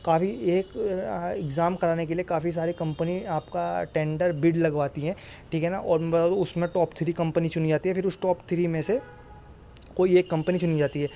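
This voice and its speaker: A man's voice: fairly high pitch, steady tone, moderate pace, vocal fry, warm tone, a little hiss or hum. A man giving an informal exam update to students in an insistent, repetitive way. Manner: repetitive, insistent, often tags phrases with "okay". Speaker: exam-news YouTuber and coach.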